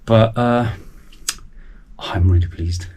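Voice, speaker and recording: A man's voice: fairly low pitch, slow pace, a little mellow, slightly rough. A man in his forties, chatting enthusiastically and a little rambly to camera from his small home studio. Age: 40s. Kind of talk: casual chat to camera. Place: small sound-proofed garage studio.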